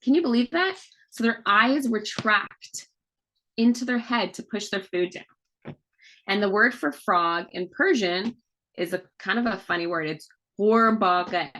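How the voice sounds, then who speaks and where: A woman's voice: mid-range voice, a little slow, fairly bright tone, rough recording. A woman and mother, a children's book author, chatting casually on a video call and teaching children with questions and fun facts. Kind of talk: casual read-aloud talk with children.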